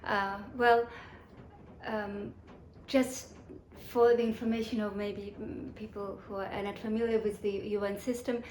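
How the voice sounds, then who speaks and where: A woman in her forties from Kazakhstan, answering interview questions in a measured, thoughtful way with many pauses and restarts. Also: mid-range voice, flat delivery, darkish tone, roomy sound, slight background noise, rough recording. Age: 40s. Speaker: guest, UN section chief.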